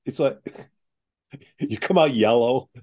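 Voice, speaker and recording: A man's voice: mid-range voice, slow pace, a little mellow. A man hosting a casual interview, joking and prompting his guest with questions. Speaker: host.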